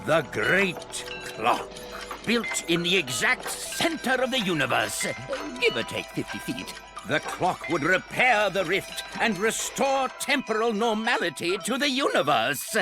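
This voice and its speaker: A man's voice: high-pitched, big pitch swings, unhurried, over music, heavily acted. An actor playing a rambling, genial old male scientist who hosts an instructional recording in a game cutscene, full of fillers and chuckles. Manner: bumbling, chuckling, fussy with fillers. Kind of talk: video game cutscene acting. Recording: game score playing behind.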